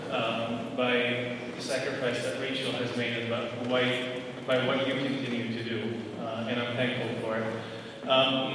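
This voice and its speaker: A man making a deliberate, formal and respectful comment from an audience. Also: mid-range voice. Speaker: audience member. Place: large echoing indoor hall. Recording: echoey hall, distant mic, noisy.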